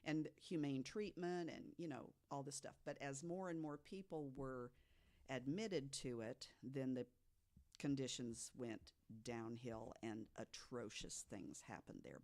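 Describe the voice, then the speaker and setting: A podcast co-host telling stories from notes to the others in a deliberate way, with emphatic asides. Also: mid-range voice, a little mellow. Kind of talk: storytelling from notes to co-hosts.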